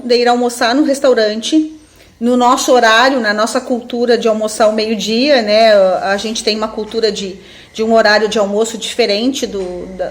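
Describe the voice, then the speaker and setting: A woman with a southern Brazilian accent giving an informal academic webinar talk as a professor, thinking aloud and often checking in with tag questions. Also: fairly high pitch. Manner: reflective, seeks agreement with frequent tag questions. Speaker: university professor, webinar speaker.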